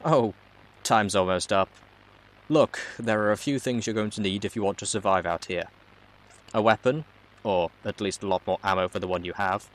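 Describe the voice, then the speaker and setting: Man playing a low-voiced, blunt hacker guide. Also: fairly bright tone, lightly acted, poor audio. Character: mysterious hacker speaking through a robot, blunt helpful guide.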